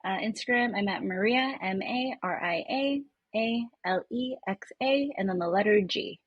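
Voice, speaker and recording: A woman's voice: medium pitch, normal speed, husky, no room sound, dull audio. A woman guesting on a podcast, chatting casually in short remarks and interjections. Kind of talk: casual chat, brief interjections.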